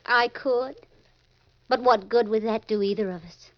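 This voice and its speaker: Radio play femme fatale, played by a woman: high babyish voice, coy and ladylike, sweetly menacing, dull vintage sound. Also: measured pace, lightly acted. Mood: calculating. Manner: coy, ladylike, coolly mocking. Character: scheming young femme fatale, sweetly menacing, money-hungry. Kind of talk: old-time radio drama acting.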